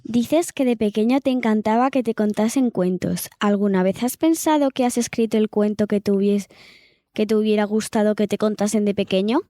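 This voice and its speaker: Mellow-voiced woman interviewer, close and dry. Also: mid-range voice, fairly even intonation, a little fast, dry recording. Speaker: interviewer, festival volunteer. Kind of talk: conversational interview questioning.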